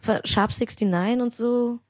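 A woman's voice: on the high side, fairly even intonation, unhurried, a little mellow, dry recording. A woman guest on a casual podcast, speaking hesitantly with many fillers and a wry tone.

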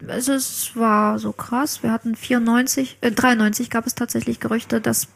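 A woman from Ukraine, a podcast guest, chatting casually and laughing often, her voice sometimes trailing off into a soft whisper. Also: on the high side, little pitch movement, normal speed, gravelly. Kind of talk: casual conversation.

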